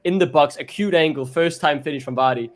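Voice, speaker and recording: A man's voice: a little high, brisk. A man, co-hosting a casual fan chat over a video call and rambling along in a chatty way. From Denmark. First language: Danish. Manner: rambling, chatty, repeats his opening words. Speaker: co-host.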